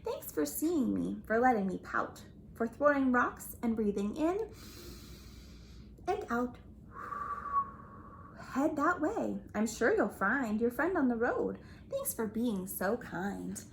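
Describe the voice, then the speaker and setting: A hot-tempered feeling creature from a children's storybook speaks loudly and defiantly, as a woman puts it on. The voice is high and sways a lot. A faint hiss of noise lies underneath. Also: big pitch swings, takes it slowly, vocal fry, pauses often, full character voice. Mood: calmed. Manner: yelling, defiant.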